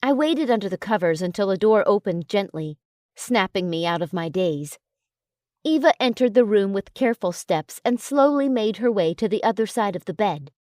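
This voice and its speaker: A woman narrating an audiobook in a calm, even storytelling manner. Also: mid-range voice, normal speed, no room sound, studio quality. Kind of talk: audiobook narration of a romance novel.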